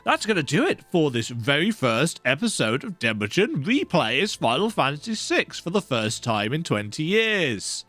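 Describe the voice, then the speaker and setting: A man's voice: on the high side, very animated, normal speed, hardly any pauses, fairly bright tone, no room sound. A man giving casual, chatty, self-deprecating commentary as host of a let's play, speaking close to the mic. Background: video game music.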